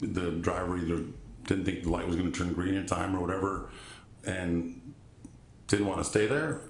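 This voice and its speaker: A man with a deep voice testifying as a witness in court, halting and polite, often restarting sentences. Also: fairly even intonation, reverberant. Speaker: trial witness.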